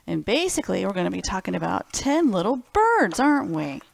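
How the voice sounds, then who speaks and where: A woman's voice: mid-range voice, big pitch swings, brisk, creaky, no room sound. A woman hosting a children's library storytime, reading picture books expressively and speaking simply and directly to young listeners.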